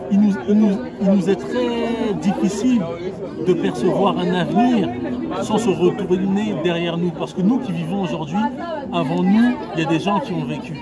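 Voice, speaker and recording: A young man from France, giving earnest, hesitant spontaneous answers in an interview. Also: sits high. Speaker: interviewed festival attendee. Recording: crowd and music behind the voice. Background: music and crowd at a public celebration.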